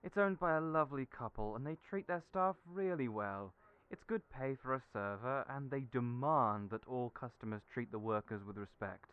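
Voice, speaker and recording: A man's voice: mid-range voice, normal speed, clear, resonant tone, narrow, tinny audio, natural voice. A man playing a fussy, doting male hairdresser who fusses over people and calls them dearie. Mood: persuasive. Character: chatty flamboyant male hairdresser friend. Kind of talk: audio roleplay acting.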